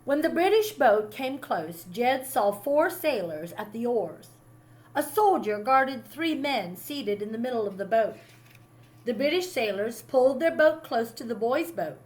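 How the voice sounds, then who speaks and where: Expressive higher-voiced woman reading a story aloud. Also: a little slow, rough recording. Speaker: teacher reading a picture book to a class.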